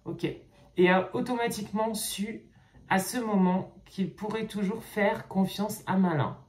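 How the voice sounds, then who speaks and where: A woman YouTuber reading fan fiction aloud and pausing often to comment, in a chatty manner. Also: mid-range voice, darkish tone. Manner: chatty, reading slowly, with frequent "ok" and hesitations. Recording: roomy echo.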